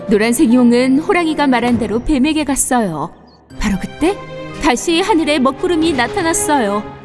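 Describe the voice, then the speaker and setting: A woman narrating a scripted fairy tale for children in an expressive storybook manner. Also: sits high, normal speed, over music.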